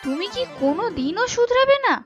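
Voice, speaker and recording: Woman acting a high-pitched nagging village wife. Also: very animated, brisk. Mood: scolding. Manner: scolding, dramatic. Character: nagging, sharp-tongued, worrying village fisherman's wife. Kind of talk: animated folk tale dialogue. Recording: dull lo-fi sound, music underneath.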